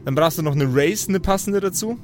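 A man's voice: a little high, brisk. A man explaining things informally and helpfully as a game master. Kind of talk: casual explanatory chat. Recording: prominent music bed underneath. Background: background music.

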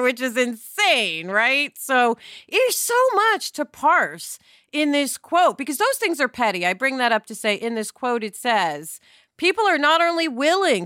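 Woman podcast host, pretty high voice, bright and punchy, chatting casually, dry close sound. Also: dry recording. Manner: emphatic, conversational, asks "right?" often. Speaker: television actress.

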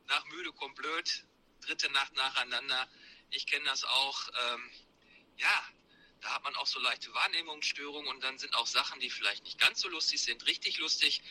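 Listener voice note: male, bright and slightly high, recorded in a car on his phone. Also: husky, poor audio. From Hamm area, Germany. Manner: friendly, chatty greeting. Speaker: listener sending a voice message. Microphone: phone voice message.

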